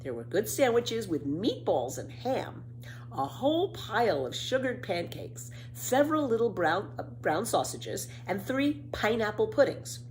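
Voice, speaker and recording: A woman reading a children's story aloud in an expressive, careful storytelling manner. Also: mid-range voice, unhurried, a little hiss or hum, poor audio.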